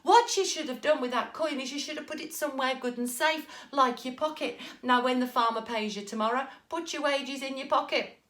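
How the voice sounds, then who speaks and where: Brief: a woman playing an exasperated mum, high and scolding, in an echoey room. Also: brisk, fairly bright tone. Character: exasperated poor mother, fond of her son.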